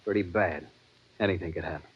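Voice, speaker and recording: A man's voice: low-pitched, fast talker, breathy edge, no echo. An actor playing a haunted young seafaring man who narrates an old-time radio drama with tense dread. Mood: guilt-ridden, tense. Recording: muffled old radio recording, music behind.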